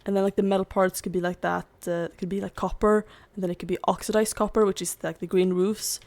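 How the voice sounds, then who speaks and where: Young woman with vocal fry. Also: medium pitch, little pitch movement, fast, darkish tone. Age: young adult. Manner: rambling, fills every gap with "like". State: voice giving out, strained. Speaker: gaming YouTuber. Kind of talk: casual gameplay chat.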